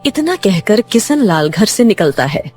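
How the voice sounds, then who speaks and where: A woman's voice: medium pitch, sing-song, measured pace, darkish tone, faint music behind. A woman narrating a scripted cartoon moral story as a storyteller.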